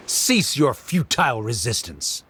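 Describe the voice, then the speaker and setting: An actor playing a haughty, stern academic official in an anime game, pompous, formal and disdainful. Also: male voice, medium pitch, wildly swooping pitch, takes it slowly, noisy background.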